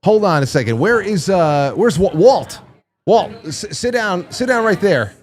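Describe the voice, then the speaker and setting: Excitable male live-stream host. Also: high voice, measured pace. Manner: rambling, restarts, excitable. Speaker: show host. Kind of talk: casual live-stream chat. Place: busy studio with guests milling about.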